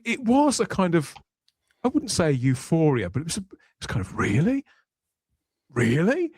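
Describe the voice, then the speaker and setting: A man's voice: a little high, dry recording. A middle-aged man hosting a podcast discussion in a reflective, explanatory way. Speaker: podcast host.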